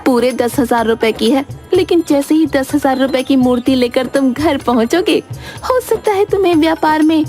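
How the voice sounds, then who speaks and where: A woman's voice: high voice, normal speed, a little mellow, faint music behind, lightly acted. A woman playing a cunning, boastful village wife who talks in a sly, pushy, persuasive way.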